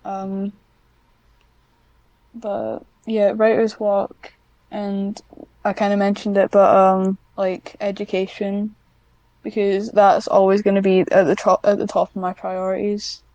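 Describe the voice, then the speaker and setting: A woman's voice: medium pitch, monotone, measured pace, a little mellow, no room sound, dull audio. A young woman chatting casually as an interview guest, with a self-deprecating, dryly joking manner. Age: young adult. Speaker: podcast guest, script writer.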